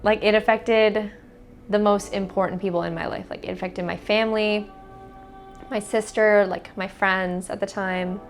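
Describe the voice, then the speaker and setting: A young woman with an American accent talks in a relaxed, conversational way at a middle pitch. She strings her thoughts together with plenty of "like" fillers. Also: normal speed, soft background music. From United States. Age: young adult. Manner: chatty, with frequent "like" fillers. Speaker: interviewee. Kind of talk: casual interview answers.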